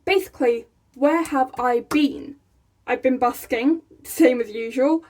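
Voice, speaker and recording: A young woman from England, chatting casually to her camera in a small room, excitable and laughing often. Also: sits high, a little slow. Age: young adult. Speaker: vlogger. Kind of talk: casual vlog chat. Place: small room by a rainy window, indoors.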